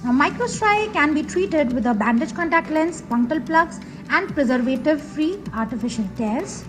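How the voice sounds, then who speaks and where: A woman with an Indian English accent reading a scripted medical video narration slowly and evenly. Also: high voice. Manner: slow, even reading of a prepared script. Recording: voice-over with background music.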